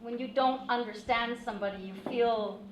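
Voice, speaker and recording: A Canadian woman in the audience, speaking loudly and deliberately as she makes an earnest comment from the back of the hall. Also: fairly high pitch, background noise. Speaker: audience member. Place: event hall.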